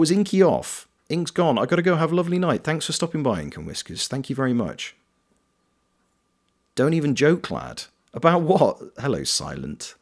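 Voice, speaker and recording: A British man with a fairly low voice that moves a lot in pitch, bouncing up and down as he talks. He chats casually on a live stream, reading out viewer comments and answering them by name in a playful, easygoing way. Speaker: streamer and voice actor.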